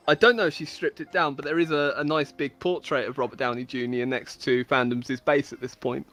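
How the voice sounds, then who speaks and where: A woman's voice: low-pitched, fairly even intonation, normal speed, fairly bright tone. A woman storyteller giving a dramatic, mock-epic reading of comic fantasy stories, with amused asides. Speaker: storyteller on a game server.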